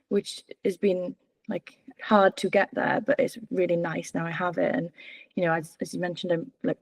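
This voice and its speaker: Low gravelly-voiced young woman. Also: a little mellow, no room sound. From Wales. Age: 20s. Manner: candid, hesitant, many fillers and restarts. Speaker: political candidate. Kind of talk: reflective interview answers.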